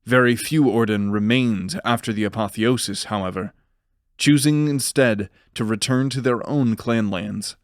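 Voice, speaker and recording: Male fantasy narrator, measured and dramatic. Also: on the low side, no echo, clean studio sound.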